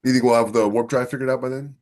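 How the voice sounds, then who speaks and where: A man's voice: mid-range voice, fairly even intonation, fast, a little mellow. A man hosting a podcast in casual discussion, thinking aloud conversationally and often checking in with "you know". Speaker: podcast host.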